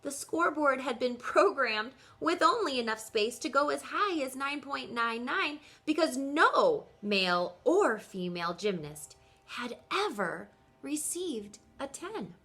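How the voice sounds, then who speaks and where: A woman teacher reading aloud to children in an expressive way, speaking directly to her young listeners and posing questions to them. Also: sits high, unhurried.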